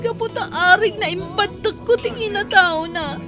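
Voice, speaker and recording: A woman's voice: very high voice, normal speed, over music. A woman acting a hurt, ashamed young adopted daughter in an Ilocano radio drama, plaintive and emotional. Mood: ashamed, distressed.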